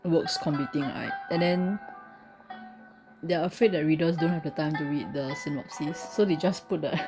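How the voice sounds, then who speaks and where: Low-voiced woman playing a game. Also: normal speed. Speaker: gamer playing and commenting. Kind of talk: casual gameplay commentary and reading text aloud. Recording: game music behind the voice. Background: game music.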